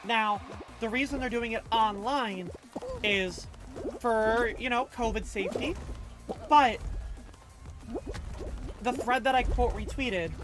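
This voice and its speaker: A woman's voice: fairly high pitch, slow, pauses often. A woman livestreamer chatting casually with her viewers, reading chat aloud in a loose, rambling, slangy way. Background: faint background music.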